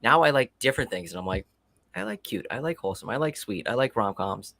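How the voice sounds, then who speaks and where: A man in his late thirties chatting casually on a livestream, telling rapid, profane stories. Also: medium pitch, lively intonation, gravelly, sharp tone, dry recording. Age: 30s. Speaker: livestream guest. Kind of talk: casual chat.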